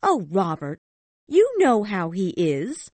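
A woman voicing a harried, sharp-tongued poor mother in a storybook reading, indignant and scornful. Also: mid-range voice, very animated, slow, no room sound. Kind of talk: audiobook character voice.

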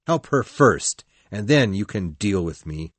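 A man voicing an anguished, defiant male lover with intense, drawn-out phrasing in an audiobook. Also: lowish voice, expressive, dry recording. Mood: defiant, urgent. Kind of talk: dramatized audiobook reading.

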